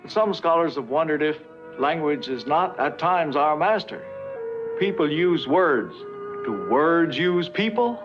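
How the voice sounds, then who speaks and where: An older man playing a patient, kindly professor, lecturing deliberately and reassuringly in a 1950s educational film. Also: on the high side, expressive. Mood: earnest, lecturing. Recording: dull vintage film soundtrack, music behind.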